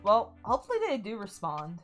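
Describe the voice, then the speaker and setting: A woman's voice: medium pitch, very animated, brisk, a bit bright, faint music behind. A young woman streaming a game, chatting casually and bubbly with her viewers. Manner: bubbly, chatty, talks to chat. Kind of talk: casual gaming stream chat.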